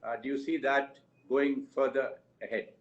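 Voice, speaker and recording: A man's voice: medium pitch, steady tone, moderate pace. Courteous male moderator, dull lo-fi sound. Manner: courteous, hesitant phrasing. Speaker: interviewer, conference moderator. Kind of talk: interview questions at a conference.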